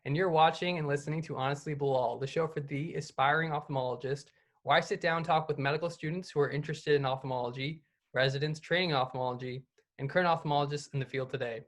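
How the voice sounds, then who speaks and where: A man's voice: fairly high pitch, fairly even intonation, fast talker. A young man in his twenties hosting an interview podcast, talking in a friendly, conversational way with a few fillers. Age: 20s. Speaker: podcast host. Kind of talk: casual interview.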